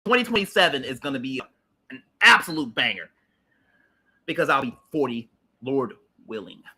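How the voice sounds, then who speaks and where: A man's voice: mid-range voice, expressive, normal speed, bright, forceful tone, husky. A man, hosting a livestream and ranting about politics in a heated, emphatic, repetitive way with blunt swearing. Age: late 30s. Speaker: livestream host.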